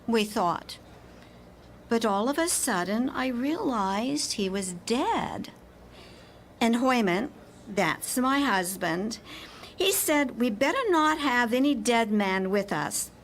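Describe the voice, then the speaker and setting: Anxious, earnest wife , female actor, radio drama. Unhurried, deliberate account, with slight noise behind. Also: on the high side, expressive, a bit bright, full character voice. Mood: earnest, confessional. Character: anxious wife explaining how she dumped a body. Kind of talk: radio play crime story acting.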